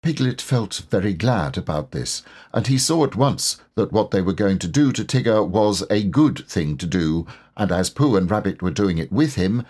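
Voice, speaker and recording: Low-voiced man narrating, richly animated. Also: slightly rough. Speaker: audiobook narrator. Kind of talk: children's storybook narration.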